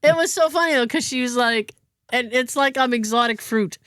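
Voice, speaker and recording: A woman's voice: on the high side, fairly quick, no echo, clean studio sound. An American woman hosting a podcast, telling a story in casual chat and acting out what other people said. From United States. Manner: animated storyteller, acts out other people's lines. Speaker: podcast host.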